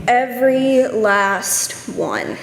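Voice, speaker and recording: A sincere, practical village kid, acted by a woman in a stage reading. The voice is high and unhurried, with strong echo from the hall. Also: animated, creaky, heavily acted. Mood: sorry. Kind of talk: amateur readers theater. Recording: echoey hall, faint noise.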